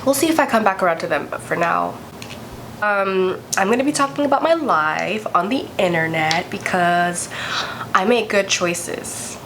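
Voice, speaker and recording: A woman's voice: medium pitch, lively intonation, moderate pace, noisy background. A young woman vlogger with a congested, stuffy voice, chatting candidly and swearing freely as she tells a story. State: congested from a cold. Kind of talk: casual storytime vlog.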